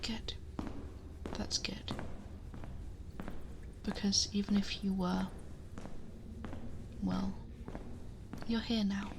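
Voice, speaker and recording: A woman playing a possessive, protective dragon girl in an intimate ASMR roleplay, speaking softly and breathily, often whispering, close to the microphone in a measured murmur. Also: medium pitch, flat delivery, noisy background. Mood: tender, reassuring. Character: possessive female dragon girl, protective, commanding yet tender. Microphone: close binaural ASMR microphone.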